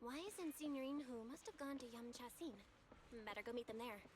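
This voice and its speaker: A woman's voice: fairly high pitch, expressive, fairly quick, darkish tone, a little hiss or hum, lightly acted. An actress playing a perceptive, composed young woman in a video game, speaking in an inquisitive, conversational way. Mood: decided. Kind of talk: scripted video game voice acting.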